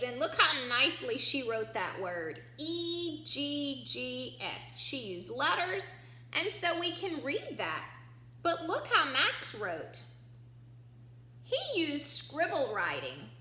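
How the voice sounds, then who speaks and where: Lady teacher doing kids storytime, bright high voice, slow and simple, echoey room. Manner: animated, simple child-directed explanations with frequent prompting questions. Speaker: early-years teacher. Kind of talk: children's picture-book read-aloud lesson, asking questions. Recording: echoey room with faint background hum.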